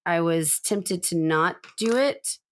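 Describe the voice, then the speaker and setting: A woman with a naturally raspy voice co-hosting a podcast, telling stories in animated, playful casual chat. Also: fairly low pitch, unhurried. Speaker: podcast co-host.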